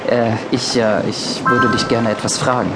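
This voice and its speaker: An actor playing a shy, awkward suitor in an audio drama, halting and stammering. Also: male voice, medium pitch, level tone, loud music behind the voice, natural voice, low-quality recording. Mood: shy, nervous.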